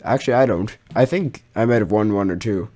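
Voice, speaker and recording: A young man telling a casual personal story as a YouTuber, in an animated, exaggerating manner with slang and swearing. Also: lowish voice, brisk.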